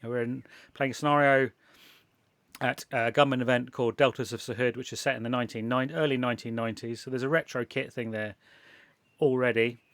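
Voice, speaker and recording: Gravelly English man chatting casually. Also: mid-range voice, steady tone, quick pace, fairly bright tone, dry recording. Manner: rambling, self-interrupting, laughs often. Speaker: podcast host. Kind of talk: casual chat.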